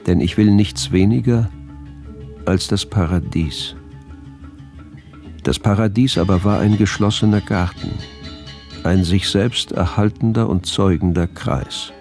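An actor playing a grandiose, brooding colonial-era doctor, reading his diary in measured, formal speech as a radio drama monologue. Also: low-pitched, a little mellow, faint music behind. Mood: solemn, lofty.

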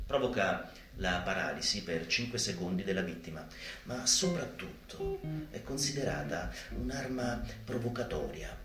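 A man delivers an ironic theatrical monologue in a roomy live space, with guitar playing clearly behind him. His pitch swings widely as he stretches out phrases slowly, leaving dramatic pauses for emphasis. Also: very animated. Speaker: stage performer. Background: live guitar music.

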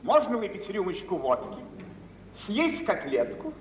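An actor playing a pompous, touchy, self-important minor official, haughty and affected, in mid-century radio theatre style. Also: male voice, high voice, huge pitch swings, unhurried, heavily acted. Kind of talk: 1940s-50s radio theatre acting. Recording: old radio recording, echoing hall, muffled, hissy.

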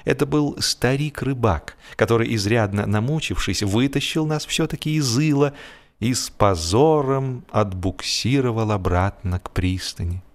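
A man's voice: medium pitch, measured pace. A man reading a humorous story as scripted audiobook narration, expressively, drawing out the ends of his phrases.

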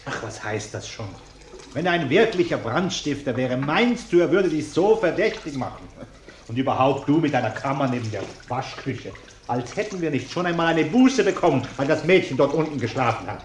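An actor playing a pompous, self-righteous middle-aged householder in a German radio play, blustering and indignant. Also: male voice, a little high, expressive, normal speed, a little hiss or hum, close to an everyday voice. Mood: self-righteous grumbling.

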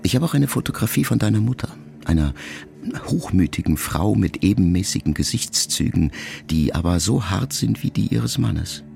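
A man reading a letter aloud as an audiobook, in a measured, reflective way. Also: deep voice, animated, vocal fry. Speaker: narrator. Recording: loud music underneath.

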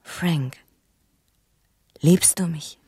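An actress playing a bitter, passionate, scheming young wife in a naturalistic radio drama, speaking with urgent intimacy and slipping into whispers. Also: female voice, low-pitched, very slow, dark tone, slightly rough, no echo, studio quality, exaggerated voice. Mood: brooding, coaxing.

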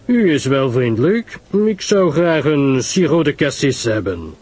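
A man playing a courteous, grave foreign detective in an audio drama, speaking in a formal, measured way. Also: medium pitch, big pitch swings, a little mellow, husky, voice pushed up. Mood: polite.

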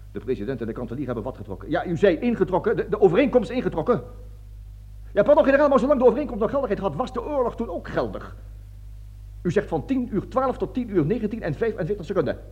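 An actor playing a pompous, fanatical army colonel with a declamatory manner in a satirical radio play. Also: male voice, sits high, darkish tone, breathy edge, exaggerated voice. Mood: flustered. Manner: declamatory speeches, barking orders, stammering when flustered. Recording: dull lo-fi radio drama recording.

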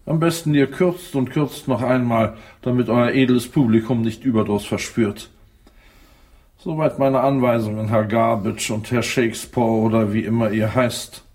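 A man's voice: medium pitch, steady tone, moderate pace, darkish tone, close to an everyday voice. Man playing an arrogant, pompous English earl. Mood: haughty, commanding. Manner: pompous, clipped formal commands. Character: arrogant, imperious English earl giving orders. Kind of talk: German radio play acting.

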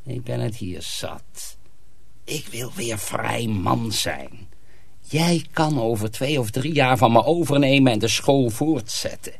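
An actor playing a sinister, commanding sorcerer miller in a radio drama, persuasive and scornful. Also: male voice, mid-range voice, lively intonation, takes it slowly, creaky, full character voice. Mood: weary, persuasive.

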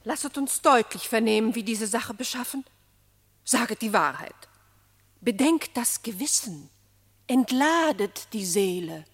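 A woman's voice: a little high, animated, measured pace, breathy edge, heavily acted. Solemn queen in a reverberant hall. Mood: grave. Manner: solemn, declamatory. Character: solemn, compassionate animal queen urging confession. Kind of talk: classic German verse radio drama acting.

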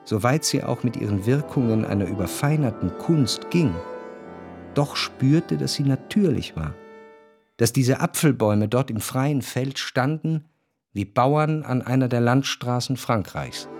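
A man's voice: medium pitch, darkish tone, close to an everyday voice. Actor as reflective male narrator over music. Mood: wistful, contemplative. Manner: contemplative, lyrical, measured phrasing. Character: reflective, nostalgic gentleman narrator recalling his past. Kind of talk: literary radio drama narration. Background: faint instrumental music.

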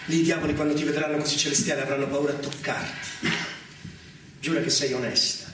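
Casting: male actor as jealous, volatile general in stage tragedy, high voice, recorded from afar with clear noise. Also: fairly even intonation, fast talker, lightly acted. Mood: menacing, accusing. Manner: volatile, swinging from hushed menace to shouting. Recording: very echoey, distant, noisy stage recording.

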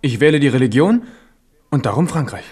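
An actor playing an earnest, dutiful young prince in a 1970s radio drama. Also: male voice, medium pitch, animated, fairly quick, a little mellow, husky. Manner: earnest, devoted.

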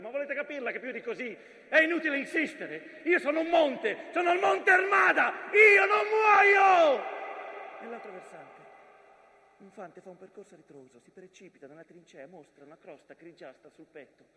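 A proud, anguished mountain watching over trench warfare. The voice is fairly high and declamatory, delivered as a theatrical monologue. It rings through a long reverb with clear background noise. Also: male voice, sharp tone. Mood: defiant outburst, then grave. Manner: declamatory, swelling from grave narration to outbursts.

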